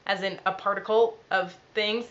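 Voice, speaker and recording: A young woman who is ill and sounds nasal, telling a story in a quick, dry, deadpan vlog. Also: mid-range voice, dull audio. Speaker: vlogger.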